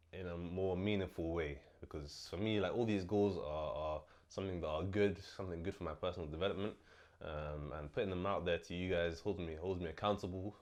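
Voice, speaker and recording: A young man hosting his own vlog, talking casually and a bit low on energy as he recovers from illness, with lots of fillers. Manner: relaxed, chatty, many fillers. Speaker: host. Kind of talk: casual vlog talk to camera.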